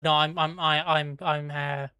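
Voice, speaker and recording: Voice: mid-range voice, little pitch movement, a little slow, pushed and bright, clean tone, dry recording, studio quality. An Irish host from Kildare chatting casually on a livestream, rambling, swearing and laughing while reading viewer comments. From Ireland. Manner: plugs merch in a breezy, offhand way.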